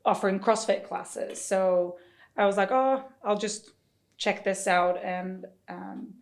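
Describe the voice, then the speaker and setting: A woman's voice: medium pitch, steady tone, slow, gravelly. A woman from Sweden chatting casually as a podcast guest and fitness coach. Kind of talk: casual chat.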